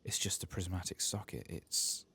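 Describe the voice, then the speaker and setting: A man softly speaking and whispering ASMR game commentary, muttering to himself as he goes. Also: low-pitched, normal speed, creaky, slightly rough. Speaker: host of an ASMR game playthrough.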